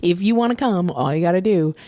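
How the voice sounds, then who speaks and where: A woman's voice: lowish voice, lively intonation, a little fast, mellow, dry recording. Woman podcast cohost going over plans, casual n playful, dry sound. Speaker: co-host and tour organizer. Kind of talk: casual unscripted podcast chat.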